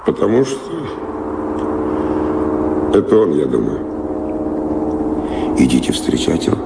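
An actor playing a weary, dreamy wandering adventurer in a radio drama, musing and halting in a poetic way. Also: male voice, rumbling low, very animated, vocal fry, full character voice. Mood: shaken, wistful. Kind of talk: theatrical radio drama acting. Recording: roomy reverberant, noisy old radio play recording.

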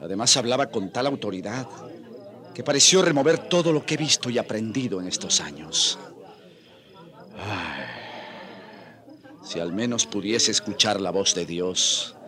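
An actor playing a pensive, troubled Spanish priest in a radio drama, speaking gravely and hesitantly. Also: male voice, fairly low pitch, animated, moderate pace, a bit bright. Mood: troubled, reflective.

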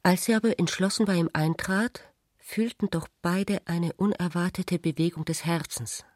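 A woman reading scripted literary narration in a measured, deliberate manner. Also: on the low side, darkish tone, no echo, clean studio sound.

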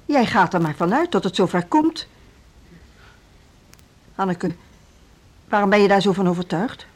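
A woman's voice: mid-range voice, expressive, a little slow. An actress playing an indignant, stubborn woman who leads a music school in a Dutch radio play. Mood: stubborn, suspicious.

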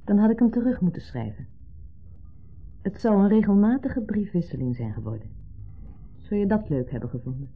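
An actress playing a patient, mildly exasperated wife in a 1970s radio play, reassuring and matter-of-fact. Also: female voice, medium pitch, sing-song, moderate pace, pauses often, slightly rough, soft background music. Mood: defensive. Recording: muffled narrow-band 1970s radio recording.

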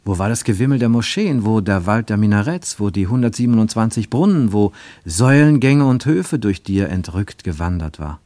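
A man narrating a radio play in a measured, literary storytelling manner. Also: fairly low pitch, expressive, dark tone, no echo.

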